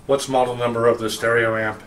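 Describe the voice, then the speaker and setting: A man interviewing casually on camera in a hotel room at an audio show, with somewhat halting phrasing. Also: medium pitch, little pitch movement. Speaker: video reviewer and interviewer. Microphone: camera microphone, held by the speaker. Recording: echoey room, faint background room noise.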